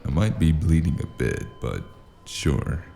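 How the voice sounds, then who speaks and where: A man acting as a hard-boiled detective with an extremely low, creaky voice. He is worn out and laconic, delivering deadpan noir lines in a dark, mellow tone for an audio drama. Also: unhurried, soft background music, full character voice. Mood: dry, laconic. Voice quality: gravelly. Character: weary wounded noir detective, gruff, dry-witted, narrating his case.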